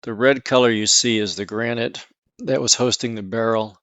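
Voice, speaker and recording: A man's voice: mid-range voice, steady tone, moderate pace, a bit bright, smooth, dry recording. A man hosting an informal educational video, explaining and showing things in a folksy, conversational way. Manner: folksy, calls objects "this guy". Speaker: host, mineral hobbyist educator.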